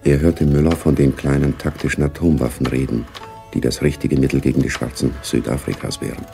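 A man narrating a spy radio drama gravely, with a deep, gravelly voice. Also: level tone, brisk, dark tone, soft background music.

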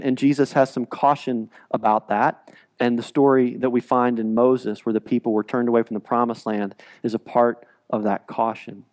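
A man in his forties preaching a sermon in a church sanctuary, animated and gesturing, often repeating words as he restarts. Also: mid-range voice. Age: 40s. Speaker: pastor. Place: large church sanctuary, speaking from the stage.

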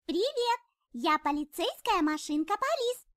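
A cheerful little girl police car from a children's cartoon. The voice is extremely high and childlike, swinging wildly in pitch with simple, exclamatory lines. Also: big pitch swings, a little slow, no room sound, studio quality, exaggerated voice. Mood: friendly, curious. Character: cheerful young female talking police car.

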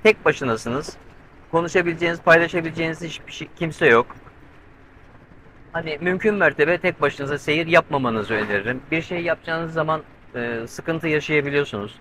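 A middle-aged man talks to a handheld camera from the covered cockpit of his sailboat at sea, explaining each step in a chatty way with lots of fillers. His voice sits a little high and sounds bright and crisp. He is tense from watching the weather, and a faint noise of wind and water runs behind him. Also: brisk, low-quality recording. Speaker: solo sailing vlogger. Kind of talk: casual vlog talk to camera. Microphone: handheld camera with mic on a pole.

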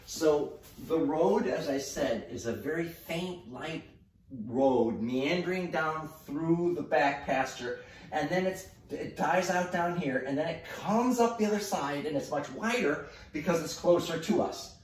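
Middle aged guy doing a painting tutorial, real echoey room, kinda far from the mic, thinks out loud. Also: on the high side. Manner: relaxed, halting, thinking aloud while working. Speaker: mural painter presenting a tutorial. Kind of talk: casual painting demonstration. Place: indoor room, painting at a wall. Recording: echoey room, distant mic, faint noise.

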